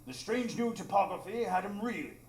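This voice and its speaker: A man playing an earnest gentleman narrator, telling the story in a literary manner in a live radio drama. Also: high voice, lively intonation, a little fast. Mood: reflective, dramatic. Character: earnest gentlemanly male doctor-narrator recounting adventures. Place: small improv theatre stage. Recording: roomy, noisy live stage recording.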